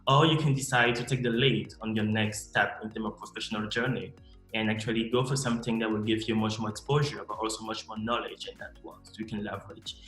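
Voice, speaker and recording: A man, answering interview questions in a measured, explanatory way with frequent restarts. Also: on the low side, steady tone, a bit bright. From Cameroon. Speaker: MBA student guest. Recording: echoey room with faint music.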